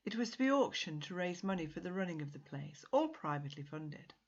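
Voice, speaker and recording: A woman with a low, husky voice narrates literary fiction with measured, dramatic expression. She reads as the author of the piece. The recording is slightly muffled. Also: lively intonation. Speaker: author reading own short story.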